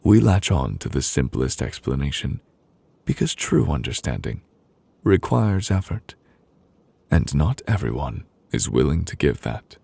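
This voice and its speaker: A man playing a gentle, protective male lover in an intimate ASMR roleplay, soothing and deliberate, with soft pauses and close-up delivery. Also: rumbling low, very animated, vocal fry, husky, no echo, full character voice. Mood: tender, reassuring. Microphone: close, dry studio microphone.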